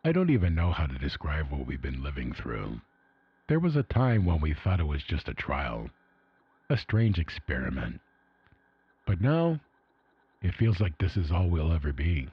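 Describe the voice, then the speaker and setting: A man acts out a weary male survivor of an underground vault reading his journal in a radio drama. The voice is low and very husky, despairing and emphatic in its complaints. An old AM radio filter dulls it. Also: huge pitch swings, moderate pace, no echo, full character voice. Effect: retro AM radio filter. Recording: dull retro AM radio sound.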